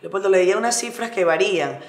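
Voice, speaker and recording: A woman's voice: medium pitch, expressive, fast, reverberant. Woman interviewer asking probing questions.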